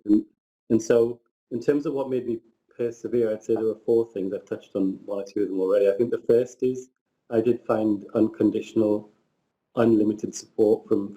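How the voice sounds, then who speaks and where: Middle-aged guy from northern england on a panel, really dark mellow voice, lots of stops and restarts. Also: fairly low pitch, poor audio. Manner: reflective, hesitant with many restarts. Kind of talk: panel discussion, personal story.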